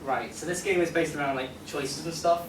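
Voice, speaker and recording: Excitable, self-deprecating man, slightly high voice. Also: brisk. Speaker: convention panel presenter, game creator. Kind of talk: casual live presentation. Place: convention panel room. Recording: echoey, noisy hall, distant microphone.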